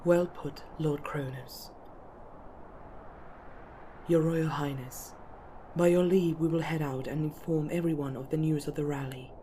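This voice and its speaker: A woman playing a composed, strategic elven noblewoman, speaking in formal, measured counsel for an ASMR fantasy roleplay. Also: low voice, steady tone, dark tone, a little hiss or hum. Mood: respectful, resolute.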